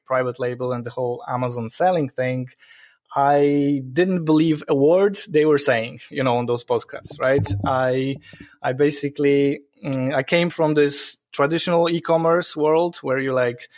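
A man's voice: medium pitch, fairly even intonation, moderate pace, a little mellow. A man from Poland with a Polish accent, an entrepreneur answering interview questions in a rambling way with many fillers. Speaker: podcast guest, entrepreneur.

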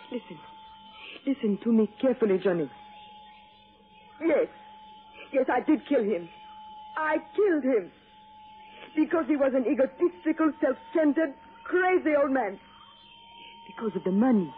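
An actress playing an alluring, scheming widow in a 1960s radio drama, melodramatic and halting in her delivery. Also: high voice. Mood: defiant confession. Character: alluring, scheming widow, pleading, then coldly confessing murder. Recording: muffled, noisy old radio recording.

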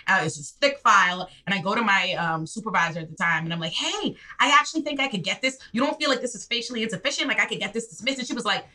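Animated Bahamian woman in her twenties. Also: fast, few pauses. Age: 20s. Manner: lively, swings into high excited re-enactments. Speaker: public defender guest. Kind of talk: animated storytelling in casual chat.

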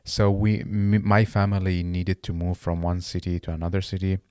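A very deep-voiced man in his late twenties, originally from Iraq and not an English speaker. He talks haltingly, restarting phrases as he searches for words. The sound is dry and close, with little room around it. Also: flat delivery, dark tone, clear, resonant tone, no room sound. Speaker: guest. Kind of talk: casual interview conversation.

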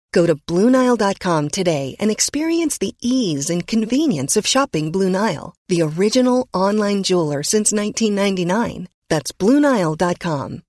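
A woman's voice: medium pitch, a little mellow, no echo. Female commercial announcer, mid-range voice, scripted read, dry close recording. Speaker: advertising announcer.

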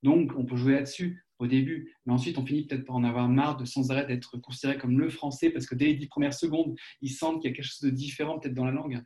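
Interviewer from France, mid-pitched man, meandering question style. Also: steady tone, fast, few pauses, dark tone. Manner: long winding questions with restarts. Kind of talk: conversational interview.

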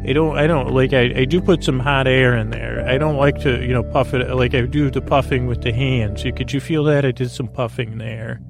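A man hosting a sleep podcast, rambling gently and hesitantly through silly, goofy asides in a gravelly, creaky voice. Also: medium pitch. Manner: meandering, hesitant, halting asides. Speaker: podcast host. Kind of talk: rambling bedtime monologue to help listeners sleep.

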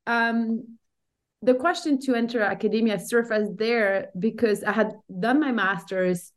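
A woman's voice: a little high, unhurried. A woman from Belgium, speaking reflectively as a guest in a conversational interview and often searching for her words. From Brussels, Belgium. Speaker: professor, podcast guest.

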